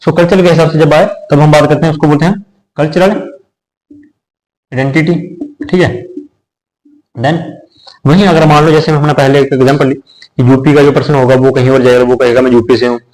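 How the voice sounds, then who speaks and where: A man's voice: a little high, fairly even intonation, fairly quick, pauses often, darkish tone, low-quality recording. Male teacher, casual explainer, repeats points and checks in with the class. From India. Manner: conversational, repeats phrases, checks in with listeners. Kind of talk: explanatory lecture.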